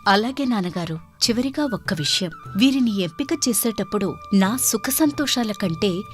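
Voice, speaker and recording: A woman's voice: medium pitch, expressive, moderate pace, soft background music, lightly acted. A woman voicing a dutiful young princess in a moral story, speaking respectfully and earnestly. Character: dutiful, adventurous young princess addressing her father. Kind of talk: dramatized Telugu moral story.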